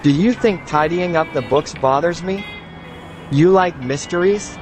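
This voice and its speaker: Kindly, measured male mentor, acted by a man, higher-pitched, audio-novel drama, music clearly behind. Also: natural voice. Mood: calm. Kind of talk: scripted audio novel dialogue.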